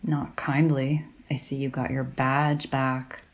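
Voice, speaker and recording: A woman's voice: very deep voice, flat delivery, takes it slowly, lo-fi sound. A woman playing a blunt, suspicious, sardonic academic in an audio drama, with a dry, sarcastic delivery. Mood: irritated. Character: sardonic, blunt, suspicious, foul-mouthed female college academic.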